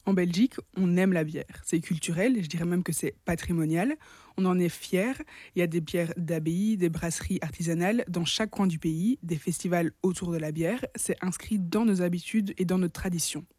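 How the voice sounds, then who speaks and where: A co-presenter reading a scripted health report in a clear, measured delivery and introducing an interview. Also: medium pitch, darkish tone, no echo. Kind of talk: scripted narration.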